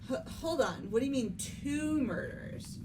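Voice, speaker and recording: A woman voicing a frightened, excitable teenage girl in an amateur game dub, breathless and stammering. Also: high-pitched, normal speed, background noise. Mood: agitated. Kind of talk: amateur voiced playthrough of a mystery game.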